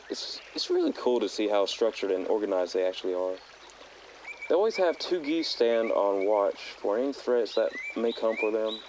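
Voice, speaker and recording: A man playing a thoughtful teenage hiker who speaks haltingly and earnestly into a personal audio diary. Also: lowish voice, darkish tone, lightly acted. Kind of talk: found-footage audio log monologue. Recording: outdoor tape log with nature noise.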